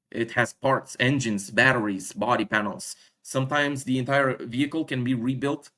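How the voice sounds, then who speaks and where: A man from Romania, not an English speaker, giving an unscripted stock explainer with frequent fillers, self-corrections and throat clearing. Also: medium pitch, a bit bright. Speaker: stock analysis YouTuber. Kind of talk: casual explainer monologue.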